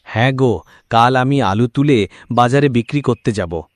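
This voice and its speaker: A man's voice: medium pitch, moderate pace, dry recording, natural voice. A man playing a poor, hopeful, devout village farmer in a cartoon, exclaiming in an earnest, folksy way. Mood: delighted. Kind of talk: Bengali cartoon voice acting.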